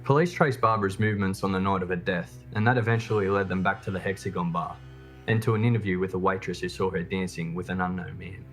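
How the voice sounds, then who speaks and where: A man's voice: deep voice, quick pace, soft background music. A man reading a scripted true crime documentary narration as its host.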